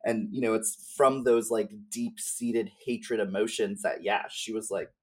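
A man speaking as a podcast guest in casual, halting, informal conversation about game lore, with slang and some swearing. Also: lowish voice, steady tone, breathy edge.

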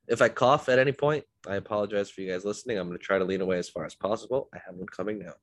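A man's voice: lowish voice, a bit bright, no echo. A man hosting a podcast, a bit congested from illness, telling rambling self-deprecating stories in a casual chat. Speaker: podcast host.

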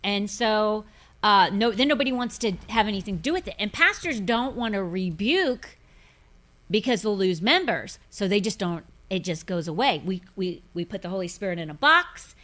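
A woman's voice: medium pitch, animated, moderate pace, fairly bright tone. Forthright woman in her fifties. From grew up in Pentecostal churches. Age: 50s. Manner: forthright, preachy, emphatic. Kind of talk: casual podcast chat on faith.

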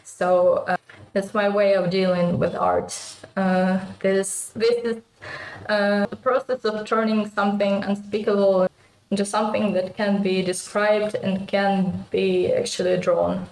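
A Ukrainian woman with a Ukrainian accent, first language Russian, speaking as an artist guest in an interview, thoughtful and hesitant with many pauses. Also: medium pitch, flat delivery, darkish tone, reverberant, low-quality recording. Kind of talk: interview conversation.

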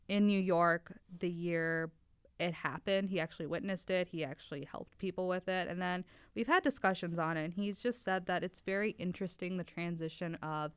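A woman's voice: lowish voice, fairly bright tone, clear, resonant tone, dry recording. A woman in her twenties from Wisconsin, a guest chatting openly on a casual podcast about her work and family. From Wisconsin, United States. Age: 20s. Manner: chatty and open, talks in long flowing stretches. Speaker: podcast guest, lab tech.

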